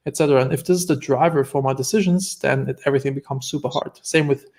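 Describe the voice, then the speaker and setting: A man's voice: medium pitch, fast, darkish tone. A man with a German accent, a guest entrepreneur telling stories in an earnest, reflective way during a casual interview.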